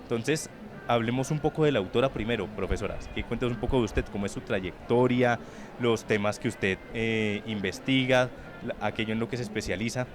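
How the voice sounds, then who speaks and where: A man speaking politely and with measured phrasing as he hosts a semi-formal live interview. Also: medium pitch, over music. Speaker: publishing house director hosting a book launch interview. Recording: busy book fair crowd behind. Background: crowd chatter at a book fair.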